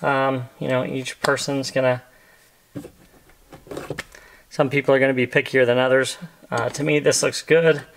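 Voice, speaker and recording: Middle aged american guy, bit of vocal fry, chatting thru a diy install in a car, some faint noise and clicks. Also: mid-range voice, level tone, measured pace, pauses often, fairly bright tone. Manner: easygoing, talking while working with his hands. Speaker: host of a car accessory install video. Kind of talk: casual hands-on tutorial chat. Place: inside a parked car.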